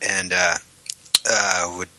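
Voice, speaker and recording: Bright-voiced joking man co-hosting. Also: low voice, flat delivery, slow pace, dry recording. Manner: self-deprecating, jokey. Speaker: podcast co-host. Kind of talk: casual podcast chat.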